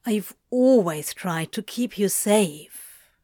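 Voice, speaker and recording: A woman's voice: medium pitch, unhurried, no echo, close to an everyday voice. A woman voicing a sardonic, protective male demon in an audiobook story, with a dry, grumbling delivery. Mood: tender. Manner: dry, muttering, deadpan.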